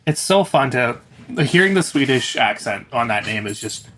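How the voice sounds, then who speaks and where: A man's voice: mid-range voice, animated, moderate pace, fairly bright tone, slight background noise. A man chatting casually about hockey as a podcast co-host playing a guessing game. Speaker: podcast co-host and quiz contestant. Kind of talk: casual sports chat.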